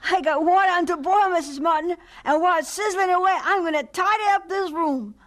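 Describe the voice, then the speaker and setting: A woman's voice: very high-pitched, fairly quick. A woman playing a polite, caring orphan schoolboy in a radio drama, earnest and folksy. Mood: busy, reassuring.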